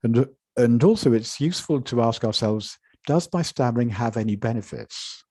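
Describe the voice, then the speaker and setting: A man giving a measured conference lecture from slides, with frequent repetitions and restarts, speaking over an online video call. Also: mid-range voice, expressive, warm tone, breathy edge, no echo, clean studio sound. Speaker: conference presenter.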